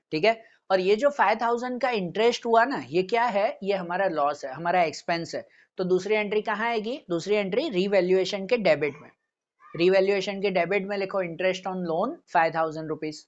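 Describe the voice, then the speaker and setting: A male teacher explaining a lesson step by step, repeating points and checking understanding with tag questions. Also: sits high. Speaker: accountancy teacher. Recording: dull, muffled lo-fi audio.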